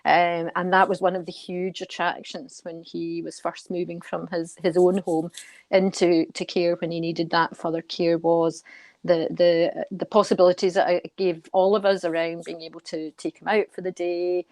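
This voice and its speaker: A middle-aged woman answers interview questions in a low voice with very little melodic movement. She is measured and thoughtful, doubling back on words as she talks. The sound is close and dry. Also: little pitch movement, a little mellow, no room sound. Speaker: guest, senior nurse and charity committee member.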